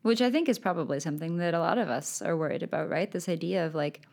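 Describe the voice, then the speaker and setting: Canadian woman co-host, low, rapid, chatty. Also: expressive, a little mellow. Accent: Canadian English. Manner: chatty, quick, upbeat. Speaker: podcast co-host. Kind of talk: casual academic chat.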